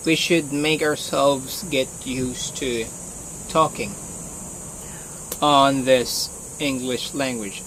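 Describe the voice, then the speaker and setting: A man's voice: medium pitch, a little hiss or hum, low-quality recording. A young man from the Philippines with a Filipino accent, speaking English as his second language in a casual, hesitant vlog on his phone, full of fillers and restarts. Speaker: vlogger.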